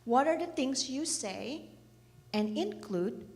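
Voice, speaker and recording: Slow, gentle Indonesian-born woman preaching. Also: sits high, expressive. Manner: slow, gentle, asks the listeners questions. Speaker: children's program director giving a church message. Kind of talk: reflective sermon talk. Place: church hall. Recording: reverberant church hall.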